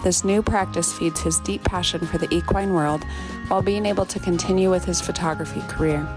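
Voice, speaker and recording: Woman podcast host, low voice, slow solemn narration, guitar music underneath. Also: fairly even intonation. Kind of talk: scripted intro narration and interview. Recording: music bed behind the voice.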